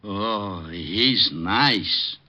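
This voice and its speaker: A slow-talking, dim police sergeant from a 1940s radio detective show. He whines and stammers, his pitch sliding up and down as he pleads. The old recording is muffled. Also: expressive. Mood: sheepish. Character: dim, whiny, lazy police desk sergeant, easily cowed.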